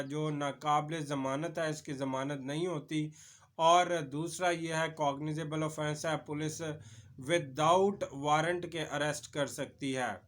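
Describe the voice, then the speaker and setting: A man's voice: fairly high pitch, a bit bright. A man with a Pakistani accent giving an informal explanatory lecture as a lawyer, addressing the viewer directly and mixing in English legal terms.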